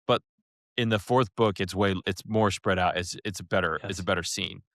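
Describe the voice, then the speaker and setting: A man's voice: deep voice, normal speed, creaky, a bit bright, no room sound, clean studio sound. A man co-hosting a podcast in a casual, slangy style, with emphatic, exaggerated turns of phrase. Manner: informal, slangy, emphatic hyperbole. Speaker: podcast co-host.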